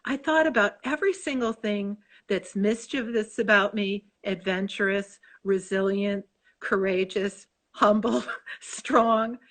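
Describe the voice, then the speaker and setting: An effusive woman in her sixties with a Chicago accent chats on a video call. She rambles and cuts herself off as she talks about her book, with a mid-range pitch. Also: a bit bright. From Chicago, USA. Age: 60s. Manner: effusive, rambling, self-interrupting. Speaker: author guest. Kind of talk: casual interview chat.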